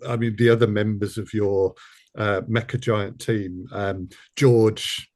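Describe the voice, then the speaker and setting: A man interviewing in a casual, conversational way, measured and a little hesitant. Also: fairly low pitch, fairly even intonation, a little mellow. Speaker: producer, sound designer and interviewer.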